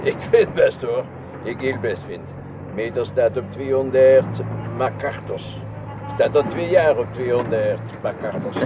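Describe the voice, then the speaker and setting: An actor playing a chatty, grumbling foreign taxi driver in a radio drama, speaking broken sentences with a foreign accent. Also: male voice, medium pitch, a little slow, close to an everyday voice. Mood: haggling. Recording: muffled radio play recording with clear noise.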